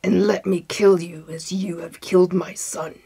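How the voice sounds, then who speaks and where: A woman's voice: fairly low pitch, fairly even intonation, unhurried, vocal fry, mellow, husky, exaggerated voice. A woman voicing an enormous enraged male genie in a storybook, speaking in menacing, emphatic declarations. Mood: menacing rage.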